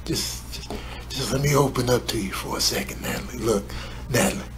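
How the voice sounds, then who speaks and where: A man voicing a streetwise, trash-talking animal in a comedy voice-over, with slangy, repetitive banter, excitable and cocky. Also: medium pitch, fairly quick, noisy background, natural voice. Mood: pleading.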